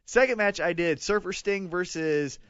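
A man's voice: high-pitched, moderate pace, fairly bright tone, clean tone, no room sound, muffled recording. A man in his late twenties co-hosting a podcast, rambling and joking in casual chat. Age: 20s. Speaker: podcast co-host.

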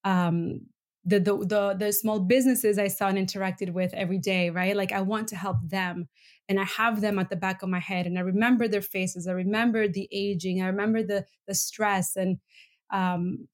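Woman, mid pitch, earnest, reflective, casual interview guest. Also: steady tone, moderate pace. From Venezuela and Bolivia. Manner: earnest, reflective, with filler ums. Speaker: podcast guest, human rights advocate.

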